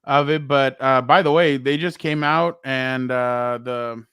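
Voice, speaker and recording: A man co-hosting a casual sports talk show, loud and rambling, often laughing and trailing off. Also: medium pitch, fairly even intonation, measured pace, clean tone, dry recording, studio quality. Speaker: co-host.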